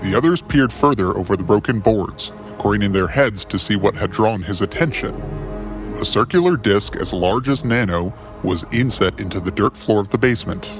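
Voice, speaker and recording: Low-voiced male narrator over music. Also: expressive. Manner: measured, deliberate, dramatic storytelling. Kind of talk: scripted story narration.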